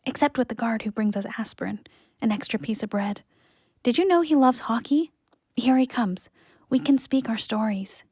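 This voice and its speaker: A young woman playing a grieving imprisoned student in an audio play, quietly telling her story. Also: on the high side, expressive, normal speed, slightly rough, no echo, studio quality. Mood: conspiratorial. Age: young adult.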